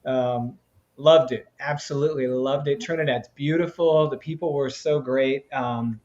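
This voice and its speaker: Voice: mid-range voice, fairly even intonation, a little slow, a little mellow. A host of a live interview stream, telling stories in a rambling way, full of fillers. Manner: rambling, filler-heavy storytelling. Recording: slightly dull lo-fi audio.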